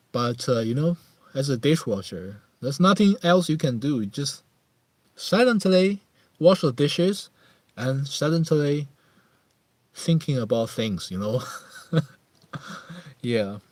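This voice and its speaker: A man with a Chinese accent talks in a close, dry recording at an unhurried, slow pace. He hosts a casual live chat, thinking aloud in halting phrases that he often repeats. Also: mid-range voice, no echo. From Harbin, northeast China. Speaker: livestream host.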